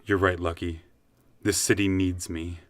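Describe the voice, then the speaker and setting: A man plays an earnest veteran hero for a comic radio play. His voice is deep, with a sincere heroic delivery and a dry, close sound. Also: little pitch movement, a little slow, dry recording. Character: earnest male superhero on the verge of retirement.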